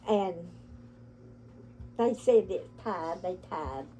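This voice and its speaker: A woman's voice: on the low side, expressive, drawn-out words, dark tone, faint music behind. An older woman with an Appalachian accent, telling family stories in a casual, folksy, rambling way. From Appalachia, United States. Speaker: family storyteller, grandmother. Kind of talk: casual reminiscing with relatives.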